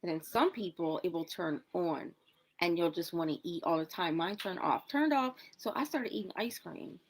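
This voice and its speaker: A woman in her forties talking in a casual social media video about her health, in a chatty, dramatic way, with sudden jumps between loud and soft. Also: lowish voice, lively intonation, moderate pace. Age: 40s. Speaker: wellness product promoter in a clip.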